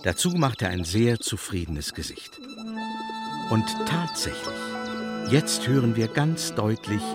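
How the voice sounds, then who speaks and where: A man's voice: fairly low pitch, very animated, measured pace, music in the background. A man narrating a children's radio play as a scripted storyteller.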